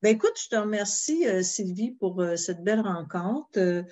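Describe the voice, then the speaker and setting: A woman's voice: medium pitch, animated, normal speed. A woman host with a Québécois accent, interviewing a guest in a chatty way with frequent fillers. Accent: Québécois French. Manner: warm-hearted, chatty, many fillers. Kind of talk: interview chat.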